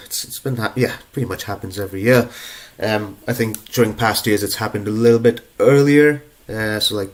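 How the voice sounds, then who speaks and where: A young adult man with a cold, hosting a live online prize draw in a casual, chatty way with plenty of ums and uhs. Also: medium pitch. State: stuffed up with a cold. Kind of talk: casual live-stream chat.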